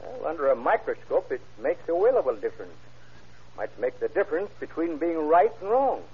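A methodical police examiner in a vintage radio crime play. He has a husky voice and a careful, deliberate manner. The sound is muffled. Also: a little high, very animated, full character voice. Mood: careful, musing. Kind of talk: old-time radio crime drama acting.

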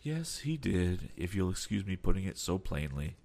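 A man voicing a calm, polite older sea captain who keeps adding apologetic asides. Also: low-pitched, normal speed, creaky, voice pushed up, heavily acted.

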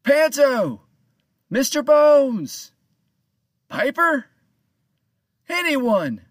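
A man voicing a bossy pirate captain who calls out and demands answers in a raised, high voice. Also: big pitch swings, normal speed, no room sound, studio quality. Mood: calling out. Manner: loud, demanding, high-pitched.

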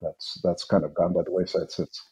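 An older man, an author being interviewed, answering thoughtfully with frequent pauses and fillers. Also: low voice, flat delivery, dark tone. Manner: thoughtful, hesitant with many fillers. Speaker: author and musicologist guest.

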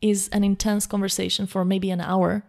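A woman's voice: mid-range voice, level tone, moderate pace, creaky, a little mellow. A young woman from Slovakia with a Slovak accent, a guest talking eagerly about learning languages. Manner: eager, thoughtful, frequent restarts. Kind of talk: casual conversation.

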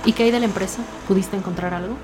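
A woman's voice: mid-range voice, fairly even intonation, lightly acted. Actress as commanding woman lead detective, music behind. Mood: authoritative. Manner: brisk, authoritative questioning. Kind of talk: scripted audio drama dialogue.

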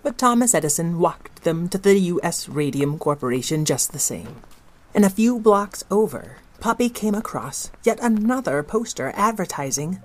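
A woman's voice: fairly low pitch, expressive, normal speed. A woman narrator and host delivering scripted narration for a children's audio story.

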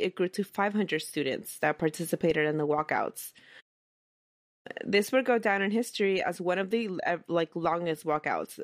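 A woman's voice: lowish voice, no echo, studio quality. A woman hosting a casual history podcast, telling the story in a conversational way and reading documents aloud. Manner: conversational, reads quoted documents aloud, chuckles often. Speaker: podcast host.

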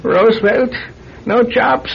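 High, creaky old recluse. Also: male voice, steady tone, slow pace, exaggerated voice. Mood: wistful, defensive. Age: older. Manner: muttering, rambling, broken phrases. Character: paranoid, bitter old male recluse hoarding hidden money. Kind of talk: old-time radio drama acting. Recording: dull, noisy old radio recording.

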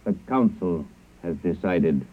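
An actor playing a logical, emotionless alien invader who speaks in stilted, formal phrases in a classic radio drama. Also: male voice, deep voice, animated, slow, exaggerated voice. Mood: cold, menacing. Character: cold, logical alien invader, an immortal council messenger. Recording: muffled vintage radio recording.

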